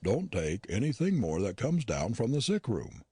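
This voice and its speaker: A man voicing a curt, disdainful gentleman dentist who talks down to his housekeeper. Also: lowish voice, wildly swooping pitch, moderate pace, slightly rough, no room sound, studio quality, full character voice. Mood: curt scornful. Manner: curt and scornful.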